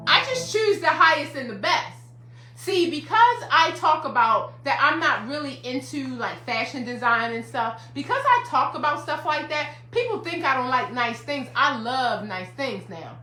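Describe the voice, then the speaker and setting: A woman's voice: high voice, expressive, normal speed, bright, forceful tone, reverberant. A woman in her fifties, a counselor and life coach, giving an emphatic, repetitive motivational talk to a livestream audience. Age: 50s.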